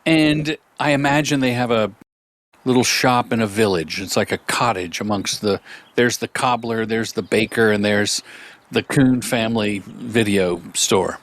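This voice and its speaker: A man's voice: lowish voice, measured pace. A man hosting an interview podcast, joking and riffing playfully with his guest. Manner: jokey, riffs playfully, animated. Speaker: podcast host. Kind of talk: casual interview chat.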